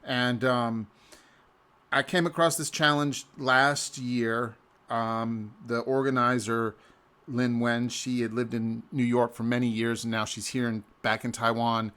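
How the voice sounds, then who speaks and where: Laid-back guy talking over his screen, mid-pitch, loose and rambly, restarts a lot, little chuckles here and there. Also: level tone, fairly bright tone. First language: English. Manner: easygoing, rambling, often pauses and restarts mid-sentence. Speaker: amateur cyclist reviewing an event. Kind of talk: casual screen-share walkthrough.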